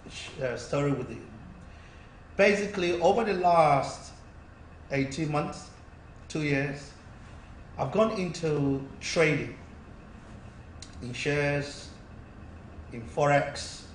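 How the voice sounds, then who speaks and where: A man working as a business coach, answering interview questions in a persuasive, repetitive sales-pitch way. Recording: roomy, distant mic, faint noise.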